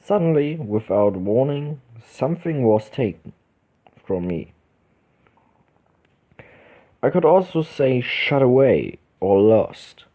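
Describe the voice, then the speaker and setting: A man reading a visual novel's narration aloud, slowly and with small stumbles and restarts. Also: mid-range voice, sing-song, dark tone. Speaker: let's play host. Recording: dull lo-fi recording with game music behind.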